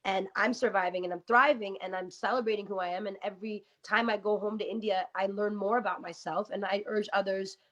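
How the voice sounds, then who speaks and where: A woman guest talking candidly in a radio interview, earnest and quick, with many "you know" fillers. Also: mid-range voice, steady tone, a bit bright. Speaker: guest rapper. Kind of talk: casual interview talk with rapped verses. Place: radio studio. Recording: muffled lo-fi sound.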